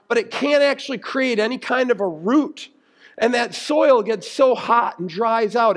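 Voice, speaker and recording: A man preaching as a pastor, explaining scripture in a plain-spoken, conversational way with everyday examples. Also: high-pitched. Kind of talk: conversational expository sermon.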